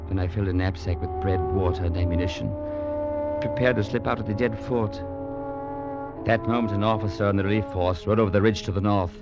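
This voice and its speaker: Actor as grave young soldier, muffled old radio. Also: male voice, fairly low pitch, steady tone, natural voice. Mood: somber, tense. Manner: earnest, measured, formal storyteller. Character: grave young English legionnaire recounting his brothers' tragedy. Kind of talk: 1940s radio drama acting and narration. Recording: muffled 1940s radio recording with music.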